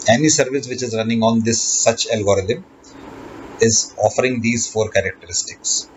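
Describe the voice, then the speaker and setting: A man giving an explanatory lesson as a lecturer, speaking haltingly and rephrasing as he goes. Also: medium pitch. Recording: dull lo-fi recording with background noise.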